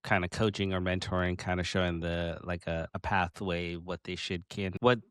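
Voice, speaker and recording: A man in his late thirties hosting a casual interview, talking in halting bursts with many restarts. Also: sits low, clear, resonant tone, no echo, studio quality. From Pacific Northwest of the US. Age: 30s. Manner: halting, restarts often, excited laughs. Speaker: podcast host.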